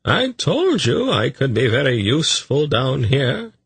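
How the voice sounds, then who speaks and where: A man voicing a smug, self-congratulatory schemer in a fantasy audiobook, with an airy, drawn-out way of talking. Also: medium pitch, wildly swooping pitch, vocal fry, fairly bright tone, husky, no room sound, voice pushed up, exaggerated voice. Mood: smug, assured. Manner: sighing, drawn-out, self-satisfied.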